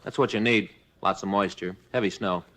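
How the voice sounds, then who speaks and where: An actor playing a chatty police detective partner making small talk in a 1950s radio drama. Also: male voice, lowish voice, fairly even intonation, fairly quick, a bit bright.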